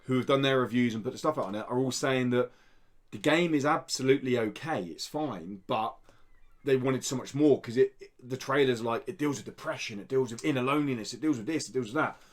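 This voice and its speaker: A man's voice: mid-range voice, a little fast. A young British man hosting a group podcast with casual, jokey, sweary banter. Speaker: podcast host.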